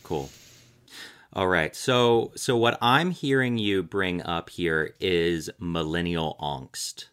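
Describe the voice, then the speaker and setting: A deep-voiced man around thirty leads a conversational tarot reading. He explains things in a reflective way and keeps checking in with the person he is reading for. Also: slow, fairly bright tone, clean tone. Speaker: tarot reader guiding a reading.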